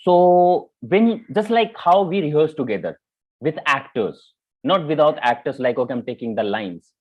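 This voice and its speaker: A man with an Indian accent giving informal spoken feedback as a mentor, halting and repeating himself, often checking in with "you know". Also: a little high, lively intonation. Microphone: video call. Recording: muffled, band-limited online call audio.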